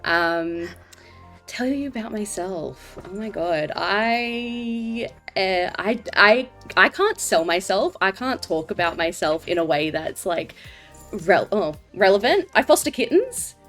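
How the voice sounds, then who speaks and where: A woman's voice: mid-range voice, lively intonation, slow, fairly bright tone, soft background music. A woman with an Australian accent, a podcast co-host chatting casually, giggling, self-deprecating and swearing freely. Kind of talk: casual interview chat.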